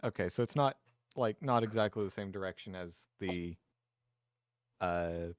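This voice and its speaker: A man playing a tabletop roleplaying game, thinking aloud in a halting, casual way. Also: deep voice, dry recording, studio quality. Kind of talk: casual in-character game chat.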